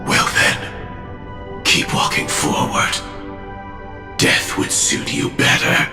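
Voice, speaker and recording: The slow, coldly taunting dark figure who looms over the hero in a game's dream sequence, fatalistic words echoing. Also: male voice, a little high, wildly swooping pitch, full character voice. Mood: ominous, probing. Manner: ominous, deliberate, taunting. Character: grim, fatalistic male harbinger of death, coldly mocking. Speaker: voice actor in a game cutscene. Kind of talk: scripted dramatic game dialogue. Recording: echoey space under clear music.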